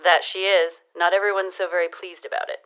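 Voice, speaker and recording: Sly, low-voiced gossip played by a woman. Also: flat delivery, a little fast, fairly bright tone, clear, resonant tone, highs cut off, close to an everyday voice. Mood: confiding. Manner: arch, knowing, teasing. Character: gossipy, sly woman, dishing neighborhood romance news. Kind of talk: period audio drama dialogue.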